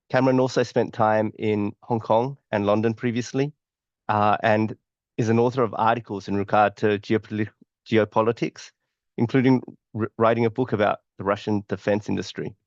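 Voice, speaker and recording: A man's voice: on the low side, dry recording. A man moderating a webinar, introducing the speakers and reading their bios with small slips. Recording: dry, dull remote webinar audio.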